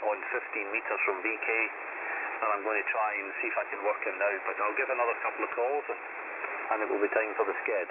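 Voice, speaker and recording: A man's voice: fairly low pitch, steady tone, quick pace, phone-quality sound. A man working as an amateur radio operator, chatting courteously and a little hesitantly over shortwave. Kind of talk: casual shortwave radio contact chat. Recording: thin, very noisy shortwave radio transmission.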